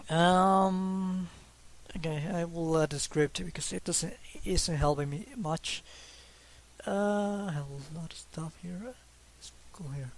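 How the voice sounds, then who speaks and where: A man's voice: on the high side, slow pace. A young man from Mexico, speaking English with a Mexican Spanish accent, talks casually and hesitantly about his drawings, with many fillers and restarts. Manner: self-conscious, laughs a little. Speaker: hobby artist.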